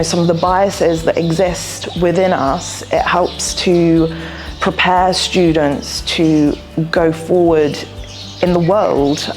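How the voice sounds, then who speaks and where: A woman in her thirties answering interview questions thoughtfully, often pausing with "um" and "you know," recorded on a lapel mic indoors. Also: on the low side.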